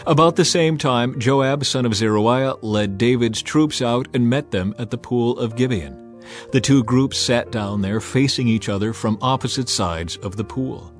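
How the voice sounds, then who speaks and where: A male narrator tells a scripture story over a music bed. His delivery is scripted, solemn and measured, in the manner of a dramatized audio Bible. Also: medium pitch.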